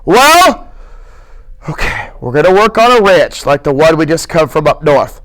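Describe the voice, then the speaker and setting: A man voicing a gruff, short-tempered drifter. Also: a little high, very animated, measured pace, fairly bright tone. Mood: gruff, scolding. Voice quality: irritable, scolding. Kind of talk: audiobook character reading.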